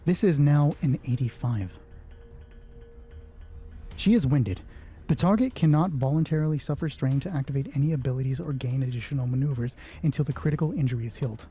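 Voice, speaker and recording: A man with a husky voice narrating a solo tabletop roleplay as game master, casually thinking aloud and swearing freely as he reads out dice results. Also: mid-range voice, a little fast, dark tone, soft background music.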